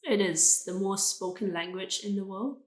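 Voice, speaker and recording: A woman's voice: mid-range voice, normal speed, reverberant, low-quality recording. A woman in her twenties from Thailand, a native Thai speaker, giving short, plain answers in simple English as an interview guest. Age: 20s. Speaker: guest, university student.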